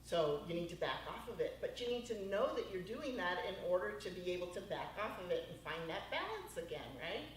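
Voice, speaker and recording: A woman's voice: fairly low pitch, sing-song, brisk, few pauses. A woman moderating a panel discussion, enthusiastic, speaking straight to the listeners. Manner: enthusiastic, addresses listeners as folks. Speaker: panel host. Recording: echoey room, mic at a distance, faint noise.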